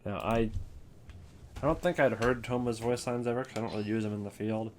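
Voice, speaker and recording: A man's voice: mid-range voice, fairly even intonation, brisk, darkish tone, slight background noise. A man hosting a casual ranking video with wry, offhand commentary. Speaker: video host.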